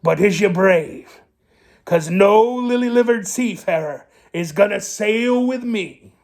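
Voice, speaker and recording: Storybook pirate captain: cranky blusterer, creaky growl, slow and salty. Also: male voice, high-pitched, a little mellow, voice pushed up, exaggerated voice. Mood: demanding, exasperated.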